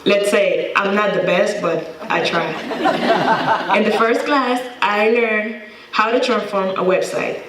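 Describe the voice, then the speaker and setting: A woman's voice: medium pitch, slow, a loud crowd. A young woman from Costa Rica with a Costa Rican accent, a native Spanish speaker, giving a prepared student presentation. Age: young adult. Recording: echoey room, slightly distant mic.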